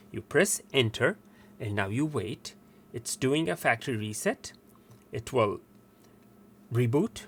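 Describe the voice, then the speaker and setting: A man's voice: mid-range voice, a bit bright, a little hiss or hum. A man presenting a step-by-step technical tutorial in an instructional way, repeating steps for emphasis.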